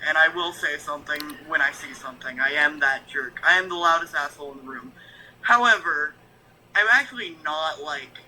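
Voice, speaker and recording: Bright, high-voiced chatty man. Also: slight background noise, low-quality recording. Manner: rambling, frank, lots of fillers and restarts. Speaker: guest, YouTube reactor. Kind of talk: casual stream chat.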